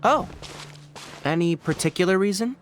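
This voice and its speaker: A man's voice: high-pitched, expressive, moderate pace, very noisy. A man voicing a knowledgeable young forest ranger in a video game, articulate and instructive, patient with a wry, teasing edge. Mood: brisk, instructive.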